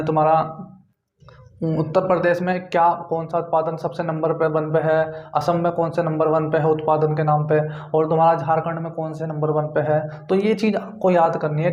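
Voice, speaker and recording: A man's voice: a little high, darkish tone. A man with a North Indian accent giving an informal exam coaching lecture, repeating points and often adding check-in tags. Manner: repetitive, with frequent check-in tags. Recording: dull, echoey room recording.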